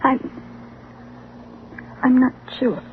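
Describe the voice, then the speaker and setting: An actress playing a guarded, hesitant young woman in an old-time radio drama. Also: drawn-out words. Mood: hesitant, afraid. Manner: hesitant, reserved. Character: guarded, fearful young woman, reluctant to trust. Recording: tinny, noisy vintage radio recording.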